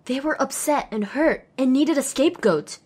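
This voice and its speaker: A woman's voice: sits high, lively intonation, normal speed, darkish tone. A woman playing a small, anxious outcast pony mare who tells her own story expressively and introspectively in an audio drama. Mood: wry, dejected. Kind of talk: first-person dramatic audiobook narration.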